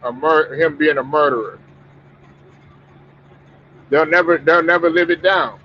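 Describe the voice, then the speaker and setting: A man's voice: on the high side, fairly even intonation, measured pace. A man hosting a casual sports talk stream, stuffed up and rambling, with many restarts and repeated words. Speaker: sports talk show host. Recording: muffled lo-fi stream audio with faint noise.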